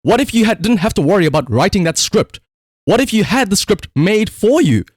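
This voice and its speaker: A man's voice: sits high, big pitch swings, a little fast, slightly rough, dry recording, clean studio sound. A man livestreaming casual commentary, telling a story conversationally with rhetorical asides.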